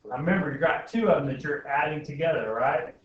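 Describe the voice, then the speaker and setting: A man's voice: fairly high pitch, quick pace. Man teaching in a very echoey room. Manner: informal, frequent checking questions. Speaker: algebra teacher. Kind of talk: classroom lesson, thinking aloud with questions to students. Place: indoor classroom. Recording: very echoey classroom, distant mic, muffled, faint noise.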